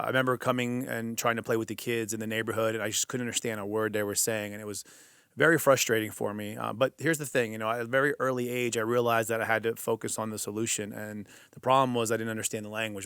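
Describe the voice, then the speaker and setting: A man's voice: on the low side, little pitch movement, fast, a bit bright, clear, resonant tone, no room sound, clean studio sound. A middle-aged man whose first language is Greek, a podcast guest telling his story in an earnest, motivational way. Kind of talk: casual interview talk.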